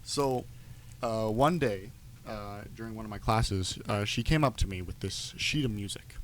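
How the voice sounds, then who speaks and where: A man chatting casually on a podcast, telling anecdotes in an animated storytelling way, on noisy amateur audio. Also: fairly low pitch, moderate pace.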